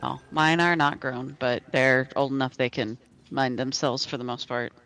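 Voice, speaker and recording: A man's voice: medium pitch, steady tone, moderate pace, bright, forceful tone, clear, resonant tone, poor audio. A man in his thirties chatting casually with fellow players over a headset, rambling with lots of fillers. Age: 30s. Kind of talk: casual gaming chat.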